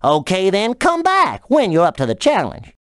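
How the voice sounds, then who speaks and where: Folksy southern game character, playful teasing drawl, super swoopy, dry close recording. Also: female voice, medium pitch, wildly swooping pitch, moderate pace, dry recording. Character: folksy honey-country dragon running a shooting game, playful. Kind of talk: scripted video game character dialogue.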